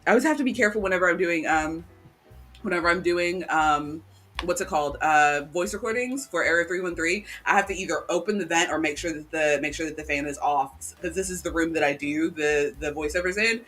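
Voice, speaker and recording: A woman's voice: on the low side, brisk, a bit bright, soft background music. A woman co-hosting a casual live chat from a cool room at home, rambling along with lots of ums and restarts. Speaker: livestream co-host. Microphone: home desk microphone.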